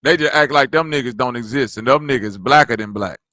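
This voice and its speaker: A man's voice: mid-range voice, brisk, bright, forceful tone, no room sound, clean studio sound. A man, bantering bluntly and profanely on a casual podcast.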